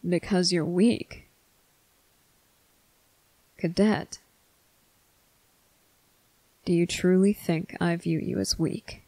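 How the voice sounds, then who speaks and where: A performer plays a male vampire captain, stern yet quietly tender. The voice is slow and creaky, recorded very dry and intimate for ASMR roleplay. Phrases come clipped and deliberate, set apart by pointed pauses. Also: medium pitch, steady tone, no echo, poor audio. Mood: stern, reassuring. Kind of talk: ASMR roleplay addressed to the listener.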